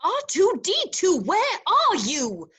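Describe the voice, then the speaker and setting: A woman's voice: very high voice, wildly swooping pitch, slow pace, a bit bright, heavily acted. A woman playing an anxious, slender humanoid robot in a script table read, fretful and dramatic in her phrasing. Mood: searching, exasperated.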